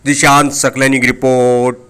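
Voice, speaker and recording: A man's voice: mid-range voice, level tone, normal speed, fairly bright tone, smooth. A man reading a scripted news feature as a reporter narrating the story.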